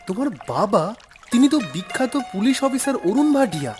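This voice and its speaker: A man's voice: very high voice, very animated, brisk, soft background music. A man voicing an honest, meek young youngest brother in a cartoon, hesitant and earnest. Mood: downcast, unsure.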